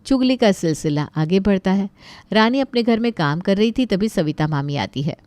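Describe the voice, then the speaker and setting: Casting: female narrator for moral tales, expressive storytelling, mid-pitched, very dry sound. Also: moderate pace, clean tone, no echo.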